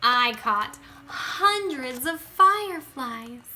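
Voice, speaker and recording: Bright, high-voiced woman reading a children's story. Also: expressive. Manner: dramatic, drawn-out emphasis with hushed drops and bursts. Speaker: teacher reading a picture book aloud. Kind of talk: expressive children's read-aloud narration.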